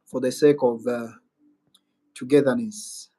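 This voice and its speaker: A man's voice: medium pitch, fairly even intonation, measured pace, dark tone. A man with a Ugandan accent hosting a casual live-stream Q&A, rambling and musing aloud as he reads out viewer comments. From Western Uganda.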